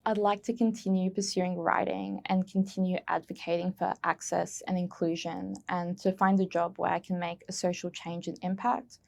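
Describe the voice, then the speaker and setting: Woman, gravelly fry, earnest museum intern, prepared answers. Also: lowish voice, level tone, moderate pace, few pauses, darkish tone. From Australia.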